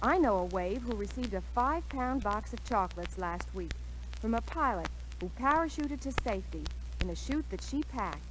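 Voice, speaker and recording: A woman's voice: on the high side, lively intonation, moderate pace. An American woman speaking as a recruitment spokeswoman in a scripted 1940s radio interview. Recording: noisy vintage 1940s radio recording.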